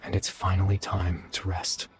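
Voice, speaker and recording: Man voicing a low, weary, gentle young man. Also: completely flat delivery, normal speed, a little hiss or hum, close to an everyday voice. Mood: resigned, weary. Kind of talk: dramatic video game dialogue.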